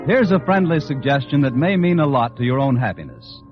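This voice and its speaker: A man's voice: medium pitch, sing-song, fairly quick, over music. A man announcing on 1940s radio in a scripted public-service style. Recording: dull vintage 1940s radio recording.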